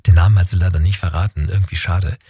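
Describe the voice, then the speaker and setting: A man's voice: low voice, steady tone, fast, mellow, breathy edge, no room sound, clean studio sound. A man hosting a radio interview in a conversational style, putting questions and prompts to a guest.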